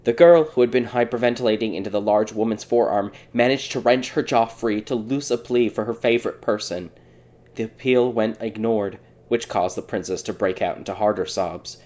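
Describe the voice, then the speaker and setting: A man's voice: on the low side, normal speed, a little mellow. A man reading a scripted fairy tale as a dramatic audiobook narrator.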